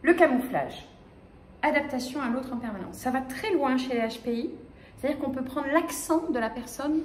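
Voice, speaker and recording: A fairly high-voiced woman in her fifties talks to an audience in a small lecture room, animated and quick to restart her sentences. The room is echoey, with a faint hum of ambience behind her. She comes from the Paris region. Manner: animated, gesturing, many fillers and restarts. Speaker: speaker giving a talk. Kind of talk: lively conference talk.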